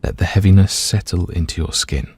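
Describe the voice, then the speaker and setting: A man gently and calmly leading a scripted guided meditation, letting his voice soften at the ends of phrases. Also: sits low, a little fast, husky, no echo. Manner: gentle, calm, soothing, hushed at phrase ends.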